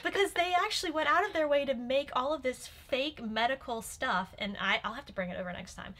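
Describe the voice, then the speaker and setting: A young woman chatting casually and enthusiastically in a rambling, animated way, like a nerdy fan. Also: high-pitched, brisk. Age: young adult. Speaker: guest commentator and Star Trek fan. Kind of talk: casual reaction chat. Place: small cosy furnished room indoors.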